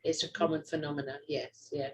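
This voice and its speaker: A woman's voice: sits low, steady tone, fairly quick. A middle-aged woman from the UK hosting a casual interview, effusive and rambling, with many fillers. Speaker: host.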